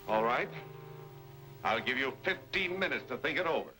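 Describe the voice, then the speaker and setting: An actor playing a scheming, commanding western villain boss in an old-time radio serial style. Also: male voice, mid-range voice, lively intonation, normal speed, natural voice, rough recording. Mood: cold threat. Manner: commanding, menacing, emphatic. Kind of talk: 1930s-40s western serial acting. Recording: vintage radio recording with music.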